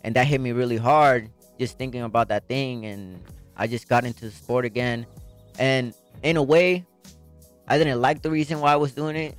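A young man from Puerto Rico, a boxer, telling his life story in an earnest, soft-spoken way with many fillers. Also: medium pitch, normal speed, clear, resonant tone, soft background music. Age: young adult. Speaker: professional boxer guest. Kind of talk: interview storytelling.

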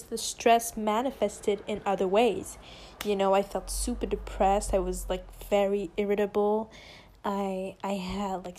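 A woman's voice: medium pitch, mellow. A teenage girl from France, speaking English with a French accent as a second language, rambling casually into her phone for a solo podcast, often restarting her sentences. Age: teens. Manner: rambling, self-interrupting, chatty.

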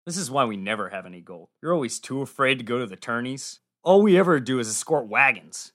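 A woman plays a bold teenage squire boy in a storybook. The voice is dropped very low and swings widely in pitch, earnest and challenging. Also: animated, fast talker, a bit bright, heavily acted. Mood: frustrated. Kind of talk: storybook character voice.

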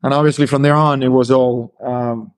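A man's voice: mid-range voice, fairly even intonation, moderate pace. A middle-aged man from Argentina, answering as a podcast guest in a hesitant way, full of fillers and restarts. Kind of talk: casual interview talk.

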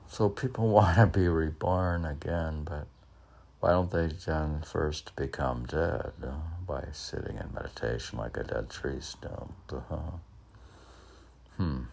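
A man in his sixties rambling alone between lines of his own poetry, halting, questioning himself and repeating phrases. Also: a bass voice, gravelly, a little mellow. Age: 60s. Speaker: poet reading own work and commenting. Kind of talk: rambling solo monologue mixed with poem reading.

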